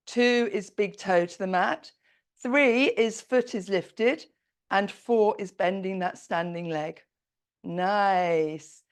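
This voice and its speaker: A woman teaching a slow-flow yoga class, calmly cueing each pose while doing it herself, her voice a little croaky. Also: mid-range voice. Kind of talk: slow guided yoga instruction.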